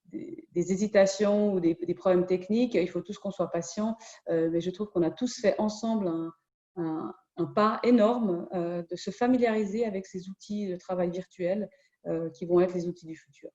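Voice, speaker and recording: A woman with a mellow, dark voice gives closing remarks, often repeating words as she searches for them. She sounds like she is in an echoey room, heard through muffled, lo-fi call audio. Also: on the low side, moderate pace. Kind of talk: closing remarks at an online meeting.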